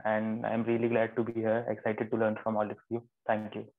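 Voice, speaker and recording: A young man, an undergraduate earnestly introducing himself in an online class, halting now and then. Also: on the low side, monotone. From India. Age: young adult. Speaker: undergraduate student. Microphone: video call. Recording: tinny phone-like video call audio.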